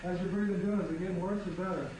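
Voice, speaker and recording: Man acting as rapid high-voiced ER staffer. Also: fairly even intonation, a crowd in the background, close to an everyday voice. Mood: reassuring. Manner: informal, reassuring. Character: reassuring male emergency room staffer. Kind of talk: amateur medical dramatization. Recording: very echoey, noisy, far from microphone.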